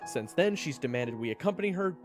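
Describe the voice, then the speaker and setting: A man's voice: fairly high pitch, expressive, brisk, reverberant, in a large hall, music in the background, close to an everyday voice. A man playing a nervous, sardonic young adventurer who narrates his own fantasy story with dramatic, wry delivery. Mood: nervous, pleading. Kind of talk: first-person fantasy story narration and acting.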